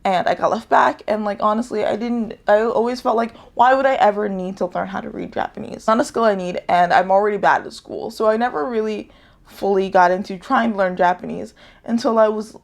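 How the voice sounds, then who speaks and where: Young woman with a gravelly, rambling chat. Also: mid-range voice, steady tone, a little fast. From United States. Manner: rambling, self-correcting, laughs often. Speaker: vlogger. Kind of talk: casual vlog chat.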